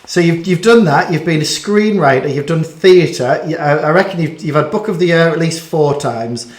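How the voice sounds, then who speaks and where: A man with a slightly high voice hosts a casual question-and-answer chat. He is chatty and self-deprecating and often trips over his words. The room around him is noticeably echoey. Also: moderate pace. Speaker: interviewer hosting a school bedtime story chat.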